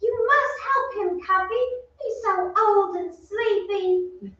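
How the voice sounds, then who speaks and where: A woman playing an earnest, gentle young English lady in an old-time radio play, with sweet, pleading delivery. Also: very high-pitched, slow, exaggerated voice. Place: theatre stage. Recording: very echoey stage, distant mic, muffled.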